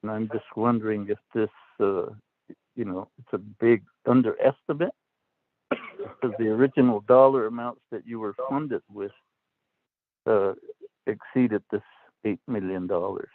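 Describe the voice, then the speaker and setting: Slow, deliberate man on a phone line. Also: on the low side, dry recording. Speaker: council delegate. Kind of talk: formal committee questioning. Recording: dry tinny phone line.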